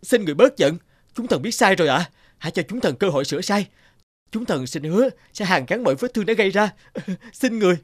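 A man's voice: high-pitched, animated, fast talker, dry recording, clean studio sound. A man voicing a servile winged cartoon love god, eager to please, with a deferential, pleading manner. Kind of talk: animated fable dialogue.

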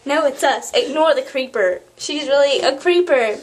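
A woman's voice: high voice, moderate pace. A teenage girl chatting casually to camera as an amateur video host, with a giggly manner. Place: small indoor room at home. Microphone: camera's built-in mic at arm's length. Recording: noisy home camera recording.

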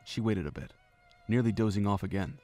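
A man narrating a fan audio drama in an even, measured reading voice. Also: fairly low pitch. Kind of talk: scripted story narration. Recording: home narration with faint music.

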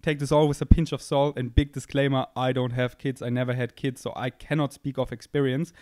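A young man talks into a handheld microphone held close to his mouth, rambling from one thought to the next in a playful, self-mocking way. His pitch sits in the middle for a man, and the room sound is dry. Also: flat delivery, a little fast, a little mellow, no echo. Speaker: podcast host. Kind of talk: casual rambling monologue. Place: indoor room, seated on a couch.

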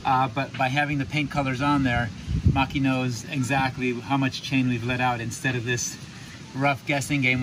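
A man in his fifties casually explaining a boat chore as a sailing vlog host, relaxed and chatty with many ums and restarts, recorded on camera outdoors on a catamaran at a marina. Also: mid-range voice. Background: wind and harbor noise.

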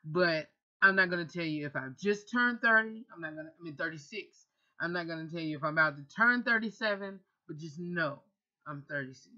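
Chatty woman in her thirties, bright voice. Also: on the low side, a little fast, dull audio. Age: 30s. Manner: chatty, rambling, self-correcting. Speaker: vlogger and radio host. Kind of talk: casual Q&A vlog. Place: bedroom, indoors. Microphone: webcam at close range.

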